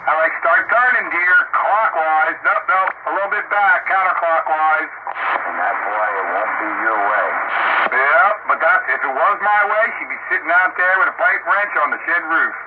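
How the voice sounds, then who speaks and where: Male radio hobbyist, casual on-air chatter, narrow tinny transmission, loud static. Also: a little high, lively intonation, moderate pace. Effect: two-way radio. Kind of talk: casual chat over CB radio.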